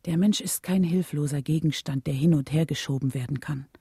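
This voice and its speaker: An actress playing a grave widow who narrates a radio play in a sober, measured way. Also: female voice, low-pitched, warm tone, slightly rough, dry recording, clean studio sound, full character voice. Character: Coretta King, grave widow recounting her husband's death.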